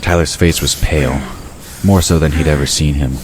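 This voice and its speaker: Very deep-voiced male narrator. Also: fairly even intonation, normal speed. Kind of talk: scripted audio drama narration. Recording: noisy ambient sound effects behind.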